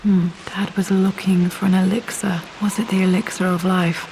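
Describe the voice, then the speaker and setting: An actress playing a thoughtful young British woman explorer in a video game, musing softly to herself. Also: lowish voice, level tone, moderate pace, slight background noise, close to an everyday voice, rough recording. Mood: quiet, curious. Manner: soft, hushed, reflective.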